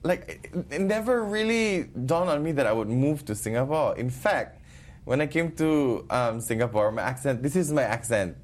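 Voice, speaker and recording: A man's voice: medium pitch, normal speed. A man in his twenties, from the Philippines, with a Filipino accent, chatting playfully as a guest about his life. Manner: playful, animated, switches between Filipino and Singaporean English. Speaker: guest, YouTuber and radio DJ. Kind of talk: casual interview chat.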